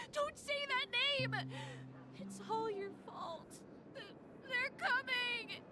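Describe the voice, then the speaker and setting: Very high-pitched, wailing little creature. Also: female voice, lively intonation, slow pace, very noisy, exaggerated voice. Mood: panicked terror. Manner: wailing, panicky. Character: terrified small male creature convinced he is dead. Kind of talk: video game voice acting.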